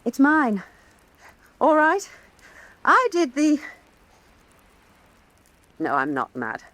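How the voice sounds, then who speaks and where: An actress playing a proud, intense woman painter in a radio drama, declaiming with scorn and dramatic pauses. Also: high-pitched, wildly swooping pitch, a bit bright, dry recording, heavily acted. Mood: restless, insistent. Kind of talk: theatrical radio drama acting.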